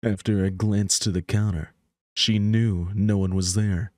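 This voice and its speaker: Low-voiced man narrating a story. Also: fairly even intonation, a little slow, a little mellow, dry recording. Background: background music.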